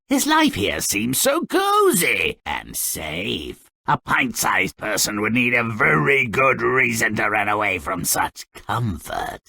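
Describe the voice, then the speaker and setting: An actor playing a cynical, gleefully morbid, grumpy dwarf storyteller in a video game, with a raspy, gravelly voice and a pompous, sardonic, theatrical delivery. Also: male voice, mid-range voice, bright, forceful tone, no echo, studio quality, exaggerated voice. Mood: scheming, sardonic. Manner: sardonic, pompous wordy, mock-dramatic swoops and drawn-out endings. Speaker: narrator character. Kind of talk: theatrical scripted game narration.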